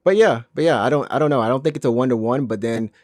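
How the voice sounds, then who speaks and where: A man from Alabama co-hosting a podcast in casual chat, talking in quick bursts with restarts and laughs. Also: mid-range voice, a little mellow, no echo, studio quality. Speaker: podcast co-host.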